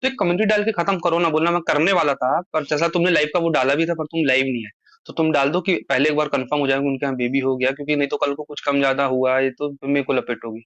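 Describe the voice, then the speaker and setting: A man's voice: on the high side, steady tone, fast, a bit bright, no room sound. A man in a casual phone conversation, talking at length as he explains himself and appeals to the listener. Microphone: phone call. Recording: muffled lo-fi recorded phone call.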